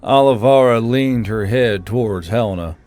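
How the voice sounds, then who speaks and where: A man's voice: mid-range voice, moderate pace, a little mellow. A man narrating a scripted fantasy story.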